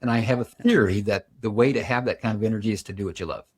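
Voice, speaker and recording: A man of about seventy hosting a podcast, telling a personal story in a reflective, measured way. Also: on the low side, slightly rough. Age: 70s. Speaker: podcast host. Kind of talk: casual podcast conversation.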